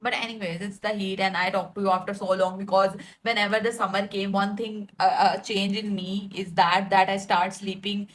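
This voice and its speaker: A young woman rambles through a casual rant, full of likes and you-knows, and laughs at her own jokes. Her voice is a medium pitch for a woman. A faint hiss of noise sits under the recording. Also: flat delivery, normal speed, few pauses, rough recording. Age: 20s. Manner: chatty, self-mocking, laughs often, filler-heavy. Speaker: vlogger.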